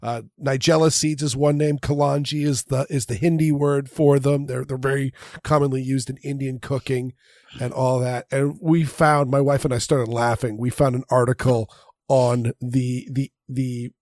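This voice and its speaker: A man's voice: mid-range voice, level tone, moderate pace, a little mellow, no room sound, studio quality. A man hosting a podcast in casual chat, with a naturally nasal voice and a slightly stuffy nose, chatty and laughing between phrases. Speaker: podcast host.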